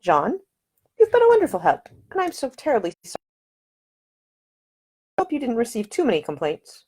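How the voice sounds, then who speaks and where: A woman voicing a spirited young woman traveler who talks in a brisk, quick-witted way. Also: fairly high pitch, huge pitch swings, a little mellow, slightly rough, voice pushed up, heavily acted.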